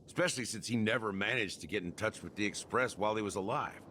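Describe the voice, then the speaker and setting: A man voicing a cynical, weary, rough-edged security officer in a video game, with a sardonic, theatrical delivery. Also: fairly low pitch, fast talker, sharp tone, slight background noise. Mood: musing.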